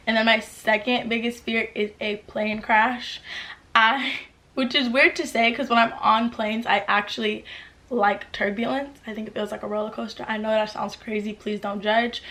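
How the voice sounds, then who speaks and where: A woman's voice: on the high side, pushed and bright. Chatty teenage girl vlogging. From United States. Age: late teens. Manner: chatty, upbeat, lots of "like" fillers. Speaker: YouTuber. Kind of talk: casual Q&A vlog to camera. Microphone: camera mic with ring light.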